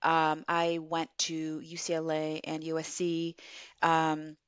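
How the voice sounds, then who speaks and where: A woman's voice: sits low, completely flat delivery, slow pace, smooth, no room sound. A woman guest, a company co-founder originally from Chile, talking in a chatty way with lots of fillers and restarts. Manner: chatty, many fillers and restarts, laughs along. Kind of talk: casual interview.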